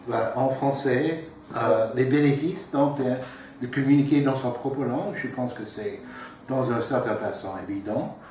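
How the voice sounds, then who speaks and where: A man whose first language is English, answering in slow, measured phrases that fade at the ends. He is well back from the mic in a strongly reverberant room. A faint noise sits beneath the voice. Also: mid-range voice, a little mellow, recorded from a distance. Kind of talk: thoughtful interview answers.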